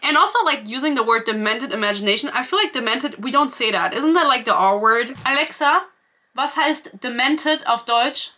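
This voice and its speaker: A woman's voice: a little high, moderate pace, pushed and bright. A young woman with a German accent, ranting sarcastically and swearing freely in a casual book review vlog to camera.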